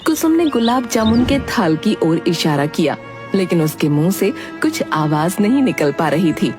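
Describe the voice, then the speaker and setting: A woman narrates a children's story in a scripted storybook style. Music plays clearly behind her voice throughout. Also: fairly low pitch, sing-song, moderate pace.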